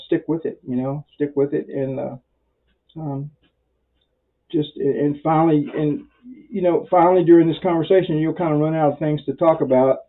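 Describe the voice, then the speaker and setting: A man giving an informal beginner tutorial in a folksy, rambling way, halting often with pauses. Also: fairly high pitch. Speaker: amateur radio instructor. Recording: very muffled, dull lo-fi audio.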